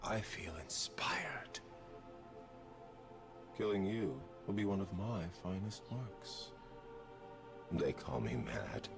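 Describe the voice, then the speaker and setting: An actor voicing a theatrical male assassin who treats killing as art, slow and grandiose, often whispering, in game voice lines. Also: big pitch swings, exaggerated voice. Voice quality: deep gravelly voice. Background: music.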